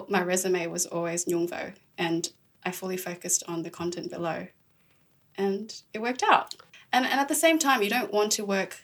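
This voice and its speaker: A woman's voice: lowish voice, fairly bright tone. A young adult woman from Australia, a podcast host being interviewed, speaking in an earnest, reflective, casual way.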